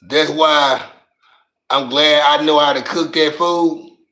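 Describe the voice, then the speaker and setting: A middle-aged man with a raspy voice and a Louisiana accent, chatting on his livestream in slangy, rambling talk and often tripping over his words. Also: fairly high pitch, steady tone, slow, bright, forceful tone, roomy sound. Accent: Louisiana Southern US. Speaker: livestream host. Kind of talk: casual livestream chat.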